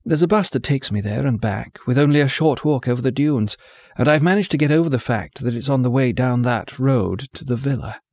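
A man's voice: mid-range voice, animated, fairly quick, hardly any pauses, dry recording, studio quality, pitched above the performer's own voice. A man voicing a fragile, eager young woman. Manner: soft, pleading, rising to tearful.

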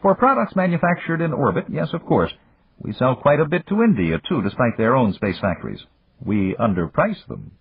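A man voicing a burly older Japanese business magnate who speaks in a formal, measured way. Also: medium pitch, huge pitch swings, pitched above the performer's own voice, full character voice. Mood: businesslike. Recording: dry, phone-like, husky.

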